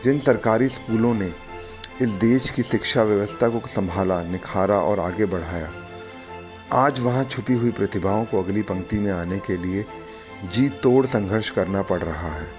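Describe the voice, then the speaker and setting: A man narrating a documentary in a solemn, measured way, opening with recited verse. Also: fairly low pitch. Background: background music.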